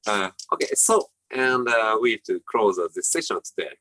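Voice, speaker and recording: A man's voice: fairly low pitch, normal speed, bright, forceful tone, no room sound, rough recording. A man with a Japanese accent, co-hosting a casual chat and searching hesitantly for English words. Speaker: co-host. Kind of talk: casual chat over drinks.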